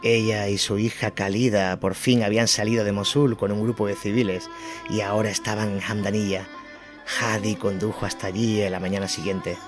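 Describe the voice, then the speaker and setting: Man, low pitch, scripted war documentary narration, music bed underneath. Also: little pitch movement, a little fast.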